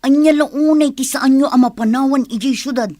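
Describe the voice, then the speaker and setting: A woman's voice: high voice, normal speed, mellow, dry recording, close to an everyday voice. A woman playing a fretful, disapproving village mother in an Ilocano radio drama. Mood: worried, disapproving.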